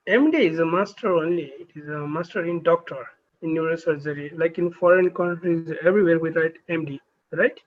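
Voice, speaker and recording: A man who is a neurosurgery trainee, chatting on a video call and asking halting, filler-heavy questions. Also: a little high, dull audio. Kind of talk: casual video call chat.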